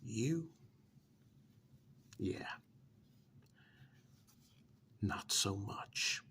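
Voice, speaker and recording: Gravelly low half-whisper, man performing menacing ex-military club boss, slow and deliberate, ASMR role-play. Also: animated, heavily acted. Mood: mocking threat. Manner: slow, deliberate, quietly menacing with drawn-out pauses. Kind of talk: soft-spoken ASMR role-play monologue.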